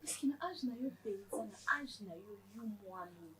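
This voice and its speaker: A woman's voice: medium pitch, lively intonation, moderate pace, heavy background noise, close to an everyday voice. A Congolese woman playing a furious, wounded middle-aged mother in a film drama, scolding insistently and repeating herself. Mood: bitter reproach. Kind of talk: improvised-sounding melodrama, switching between French and Lingala.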